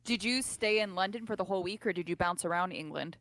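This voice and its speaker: A woman's voice: on the low side, quick pace, no room sound. A young woman from California, a podcast guest, chatting casually and acting out the lines she quotes. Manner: animated storyteller, acts out quoted lines. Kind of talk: casual chat.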